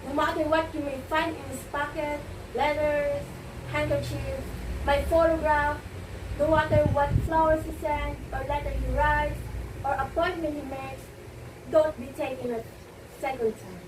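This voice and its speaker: A distant, noisy recording carries a high female voice. It is a woman acting a self-possessed stage actress in a play, worldly, teasing and condescending. Her emphasis is grand and theatrical. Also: steady tone. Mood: condescending, advising. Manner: theatrical, lofty, drawn-out emphasis. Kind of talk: amateur one-act stage play acting. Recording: echoey, distant room recording with loud noise.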